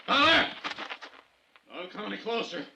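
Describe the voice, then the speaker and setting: An actor playing an agitated, unhinged killer at bay in a vintage radio drama. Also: male voice, high-pitched, sing-song, normal speed, vocal fry, pushed and bright, breathy edge, full character voice. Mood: defiant, frantic. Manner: agitated, threatening, breaking into pleading. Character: cornered, unhinged armed killer, defiant then dying. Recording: old radio recording, off-mic, muffled.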